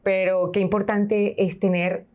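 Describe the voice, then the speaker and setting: A woman's voice: mid-range voice, level tone, measured pace, darkish tone. A woman with a Venezuelan accent, a show host telling a personal story in a chatty, anecdotal way. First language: Spanish. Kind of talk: conversational interview.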